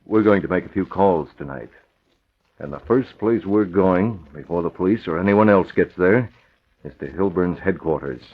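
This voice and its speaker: An American actor playing a cool, methodical, commanding masked vigilante in 1940s radio style, deep and husky. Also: male voice, normal speed. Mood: decisive, calm. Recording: dull vintage radio recording.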